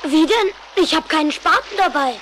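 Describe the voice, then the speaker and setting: A woman's voice: high-pitched, lively intonation, normal speed, a bit bright, slight background noise, rough recording. A woman voicing an earnest, stubborn young boy hero in a cartoon audio play. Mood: doubtful.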